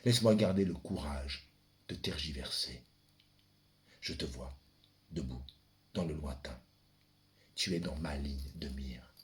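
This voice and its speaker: A man performing a theatrical monologue as a brooding son, the ferryman of the dead, addressing his father in a deliberate, dramatic, incantatory manner. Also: a bass voice. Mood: urgent, reproachful, then solemn, insistent. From France. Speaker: stage performer.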